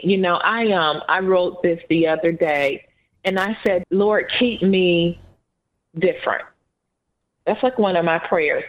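A woman with a Southern US accent, co-hosting a podcast in an earnest, encouraging, unhurried manner. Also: mid-range voice. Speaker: podcast co-host. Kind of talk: casual podcast chat. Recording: phone-like narrow band.

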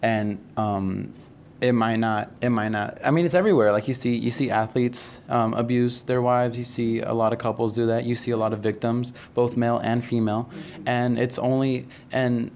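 Even-toned teenage guy, noisy recording. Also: medium pitch, normal speed. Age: teens. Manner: checks in often with "right?" and "you know?". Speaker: high school theater student, podcast guest. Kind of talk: casual conversational explanation. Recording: clear background noise, unpolished room recording.

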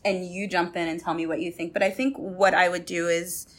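A woman with a fairly low voice hosts a conversational podcast. She is reflective and encouraging, informally gathering up what her guests have said and handing it back to them. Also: little pitch movement, fairly quick, a bit bright. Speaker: podcast host.

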